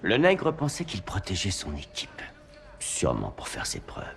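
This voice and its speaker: A man playing an arrogant Gestapo interrogator in a war game, speaking in a deliberate, condescending way. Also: low-pitched, wildly swooping pitch, creaky, background noise, full character voice. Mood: scornful, calculating. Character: arrogant Nazi Gestapo interrogator who savors his own voice. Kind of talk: cinematic war game acting.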